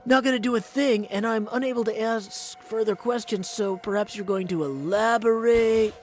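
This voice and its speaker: A man's voice: high-pitched, normal speed, a little hiss or hum, pitched above the performer's own voice, natural voice. A man voicing an earnest, perplexed young goddess in a video game, speaking formally and questioningly. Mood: concerned, insistent. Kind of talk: video game character practice.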